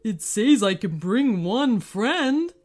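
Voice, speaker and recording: A shy, anxious monster from a children's storybook, played by a woman. Speech is slow and hesitant, with a high-pitched, gentle worry to it. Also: big pitch swings, faint music behind, exaggerated voice. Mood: tentative. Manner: timid, hesitant, worried. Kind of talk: storybook character voice.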